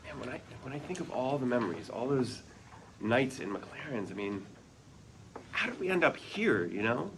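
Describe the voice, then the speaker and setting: A man's voice: medium pitch, very animated, moderate pace. A man playing an earnest, nerdy architect father who is proud and wistful, reading a comedy script. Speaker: actor at a sitcom table read. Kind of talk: comedic script read aloud before an audience. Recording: noisy live room.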